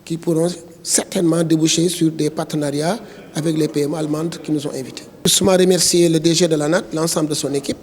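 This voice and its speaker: A man's voice: a little high, level tone, fast talker. A man with a Senegalese accent giving a spoken statement to the press as spokesman for a network, leaning on repeated filler phrases. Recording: reverberant hall.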